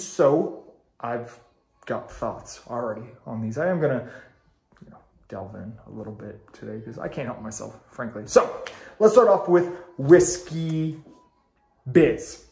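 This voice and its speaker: A man's voice: mid-range voice, vocal fry, darkish tone. A man in his thirties, congested, giving a chatty, enthusiastic video review to the camera in a small room at home. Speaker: ice cream reviewer. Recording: roomy home recording.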